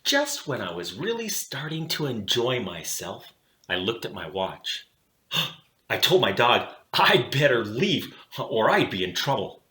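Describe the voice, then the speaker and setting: A man hosting a children's read-aloud, narrating a storybook in a dramatic, deliberate way, in a roomy space. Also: medium pitch, big pitch swings, sharp tone.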